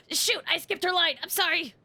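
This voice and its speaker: A woman game streamer chatting casually and excitably, thinking aloud with frequent exclamations as she plays. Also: extremely high pitch, normal speed, gravelly, a little hiss or hum. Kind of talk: casual livestream gaming chat.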